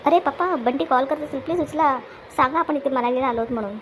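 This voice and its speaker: A woman voicing an excitable, playful young son in a cartoon family comedy, with an eager childlike manner. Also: sits high, fast, close to an everyday voice. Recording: clear background noise, ambience.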